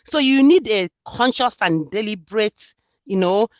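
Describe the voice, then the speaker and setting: A woman's voice: medium pitch, animated, a little slow, no echo. A woman from Nigeria with a Nigerian accent, speaking earnestly and reflectively in an interview about her book. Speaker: author and student. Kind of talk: interview conversation.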